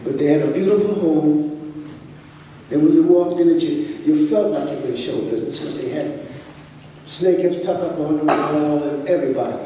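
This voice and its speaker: A man in his seventies on a panel, reminiscing in a plain, gentle way. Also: a little high, normal speed, a little hiss or hum. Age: 70s. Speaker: panelist, tap dancer. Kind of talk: storytelling on a panel. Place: auditorium stage before an audience. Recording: echoey hall from far off.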